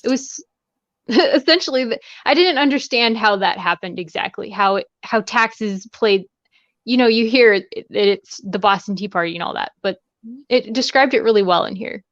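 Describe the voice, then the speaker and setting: An American woman with a mid-pitched voice, talking informally about reading. The sound is dry and a bit dull, like a livestream call, and her delivery is conversational and slightly tentative. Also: moderate pace, a bit bright, no room sound. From United States West Coast. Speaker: book discussion guest. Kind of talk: casual book discussion.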